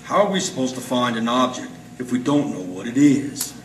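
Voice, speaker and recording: A man's voice: medium pitch, very animated, normal speed, close to an everyday voice. A man playing a wary, blunt, hard-boiled sidekick in the manner of 1940s radio noir. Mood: practical. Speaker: amateur stage radio-drama actor. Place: live performance in an art gallery. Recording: distant, echoey room with faint noise.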